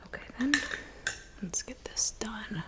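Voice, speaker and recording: A woman's voice: mid-range voice, lightly acted. Woman as a whispering, kindly witch. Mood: soothing, attentive. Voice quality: soft whisper with some creaky murmur. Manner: gentle, reassuring, unhurried personal attention. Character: kindly cabin witch mixing potions, doting and soothing. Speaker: ASMR performer. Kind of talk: ASMR roleplay.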